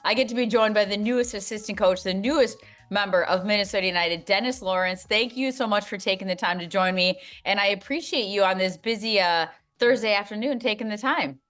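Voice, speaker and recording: A woman's voice: medium pitch, brisk, fairly bright tone, soft background music. A woman hosting a sports podcast, chatty and upbeat, with self-deprecating humor. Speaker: podcast host. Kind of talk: casual interview hosting.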